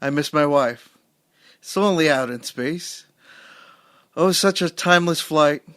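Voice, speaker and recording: A young man gives a mock-dramatic spoken word reading, stretching each line out very slowly. His voice sits a little high for a man. The recording is close and dry, with no room sound at all. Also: a bit bright, no echo. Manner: slow, drawn-out recitation. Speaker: podcast co-host.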